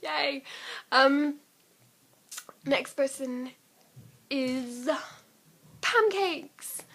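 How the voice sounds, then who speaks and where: Young female vlogger, giggly and self-effacing, slow casual delivery on the higher side. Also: sharp tone. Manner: giggly, self-deprecating, informal. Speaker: amateur vlogger. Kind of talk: casual rambling chat to camera.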